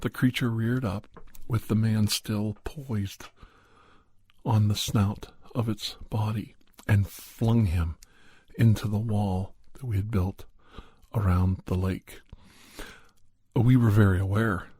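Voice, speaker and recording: A man with a mellow, husky voice tells a creepy story in ASMR style. He speaks very slowly and deliberately, pausing inside his phrases. The sound is close and dry. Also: on the low side, steady tone, no room sound. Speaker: podcast host and storyteller. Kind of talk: ASMR storytelling, first-person horror narration in character.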